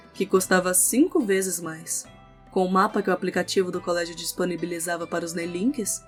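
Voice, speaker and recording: A woman with a Brazilian accent reading scripted narration for an audio novel. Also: on the low side. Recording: music under the voice.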